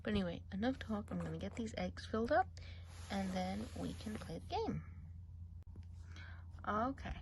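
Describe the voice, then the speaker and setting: A woman playing a gossipy witch of the woods who dips in and out of whispers. She keeps her voice soft and close, as in an ASMR role play, and she draws her instructions out slowly and carefully. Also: medium pitch, expressive, background noise, natural voice. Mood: gently instructing.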